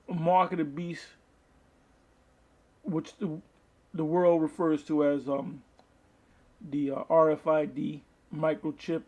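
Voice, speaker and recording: A man preaching from the Bible, reading verses aloud and explaining them in a halting, deliberate manner, with a husky, gravelly voice. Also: on the high side, a little mellow. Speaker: street-style Bible preacher. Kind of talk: Bible teaching with scripture read aloud and commentary.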